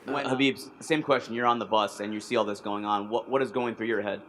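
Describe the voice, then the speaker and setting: A man's voice: fairly low pitch, steady tone, fast, background noise. A man moderating a press conference, asking the fighters questions.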